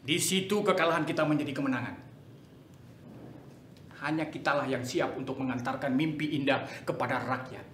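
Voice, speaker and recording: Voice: medium pitch, normal speed, pauses often, fairly bright tone, a little hiss or hum, natural voice. A performer playing a bombastic, authoritarian general in a theatrical dramatic reading, emphatic and commanding. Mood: fervent, defiant. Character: bombastic, authoritarian general scheming amid political chaos. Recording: echoey room, distant microphone.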